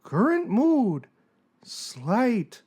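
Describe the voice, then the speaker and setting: A performer voicing a downcast, long-suffering cartoon character, reading his journal in a doleful, deliberate way. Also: mid-range voice, huge pitch swings, a little mellow, slightly rough, exaggerated voice. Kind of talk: slow dramatic reading of a moody blog.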